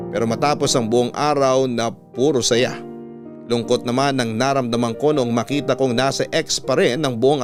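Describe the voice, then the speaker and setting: A man with a Filipino accent, a radio host reading a listener's love story as scripted dramatic narration. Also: medium pitch. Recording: guitar music behind the narration.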